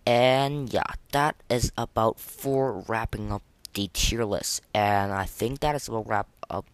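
A man hosting a casual gaming podcast, talking in a halting way and often repeating words as he restarts. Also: lowish voice, clean tone. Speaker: podcast host.

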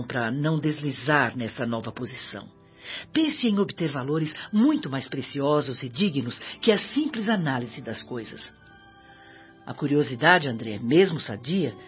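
An actress playing a kindly older spirit woman in a Brazilian radio drama, affectionate and earnest as she counsels. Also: sits low. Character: kindly motherly older spirit woman giving humble advice. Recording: dull lo-fi, music underneath.

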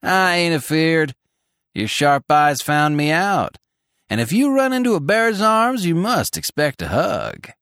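A man's voice: on the high side, sing-song, measured pace, fairly bright tone, smooth, no echo, clean studio sound, voice pushed up, full character voice. A man voicing a cocky, teasing young country giant with rustic old-fashioned talk, in a storybook. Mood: playful, bold. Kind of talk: storybook character voice.

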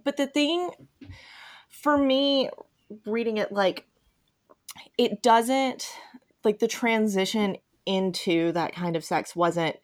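A woman's voice: medium pitch, takes it slowly, pauses often. A woman hosting a casual podcast chat, speaking in an enthusiastic, emphatic and informal way. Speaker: podcast host.

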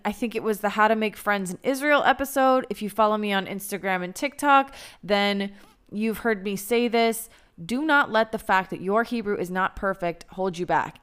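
A young woman with an American accent talks straight to the listener and gives advice in a casual monologue. Her voice is smooth and clear. She is blunt and candid, and she swears freely. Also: medium pitch. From United States. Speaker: advice vlogger.

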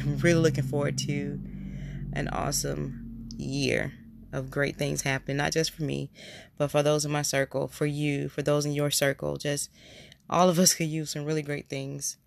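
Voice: medium pitch, creaky, a little hiss or hum. A podcast host with a cold, plainly congested, talking in a rambling, heartfelt solo episode. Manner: rambling, heartfelt, repeats words. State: stuffy, congested nose from a cold. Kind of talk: casual solo podcast monologue.

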